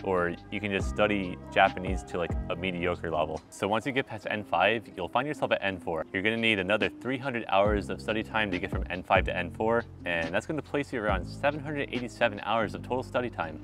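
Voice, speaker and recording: A young man in his twenties talking straight to the camera in a wry, animated vlog, recorded outdoors on a city street at night with a clip-on mic. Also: lowish voice. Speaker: vlogger. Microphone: small clip-on mic on his shirt.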